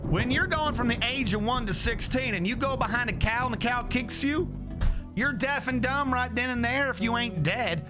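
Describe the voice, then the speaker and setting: A man voicing a laid-back Southern rancher, putting on a slow, unhurried American Southern drawl as he lectures. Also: extremely high pitch, over music, pitched above the performer's own voice. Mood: lecturing. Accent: put-on American Southern.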